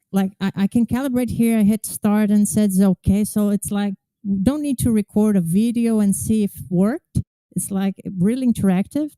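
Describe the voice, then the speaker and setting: A woman from Brazil with a Brazilian Portuguese accent, casually demoing software on a video call, chatty and full of "like" fillers. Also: mid-range voice, smooth, no echo, rough recording. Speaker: community tester.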